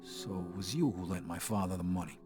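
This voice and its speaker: An actor playing a guarded, plain-spoken young American mobster in a game cutscene. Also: male voice, sits low, steady tone, brisk, lightly acted. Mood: curt. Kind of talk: video game cutscene acting. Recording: music behind the voice.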